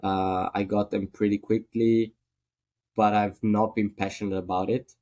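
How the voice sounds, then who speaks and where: A man's voice: on the low side, level tone, a little slow, dark tone. A man from Italy with an Italian accent, a guest in a casual podcast interview, speaking thoughtfully with many fillers.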